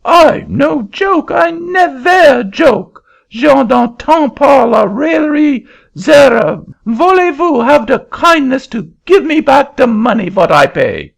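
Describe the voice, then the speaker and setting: A shrill, sputtering Frenchman. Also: very animated, unhurried, vocal fry, few pauses, heavily acted. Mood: indignant. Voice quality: shrill, sputtering broken English. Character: excitable middle-aged Frenchman swindled in land deal. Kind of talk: audiobook reading. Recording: dull lo-fi recording.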